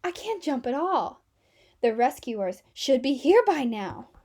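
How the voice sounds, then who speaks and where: A woman voices a feeble cartoonish capital letter on the verge of collapse. It is high-pitched and dramatically faltering, in storybook style. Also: sing-song, measured pace, a little mellow, full character voice. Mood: weak, failing. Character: weak, collapsing cartoonish uppercase alphabet letters.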